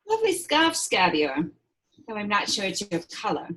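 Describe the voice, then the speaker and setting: A woman playing a menacing, imperious witch villain in a script read, taunting with mock-sweet endearments and drawn-out words. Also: medium pitch, wildly swooping pitch, fairly bright tone. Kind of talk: table read of a film script.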